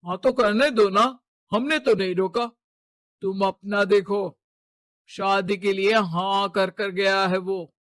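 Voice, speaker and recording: A man's voice: high voice, steady tone, slow pace, a bit bright, no echo. A man voicing a stern, status-proud middle-aged father in a cartoon moral story, domineering and scornful. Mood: dismissive.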